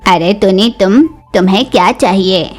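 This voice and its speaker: A woman's voice: medium pitch, normal speed, soft background music, voice pushed down, lightly acted. A woman voicing an accommodating, curious female crow shopkeeper with a puzzled, questioning manner in a cartoon story.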